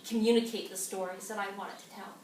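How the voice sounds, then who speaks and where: A woman from the Canadian Maritimes, a singer and actor, talking through her thoughts in a relaxed interview with frequent restarts. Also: medium pitch, fast talker. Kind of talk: casual conversation. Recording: roomy echo, distant mic, faint noise.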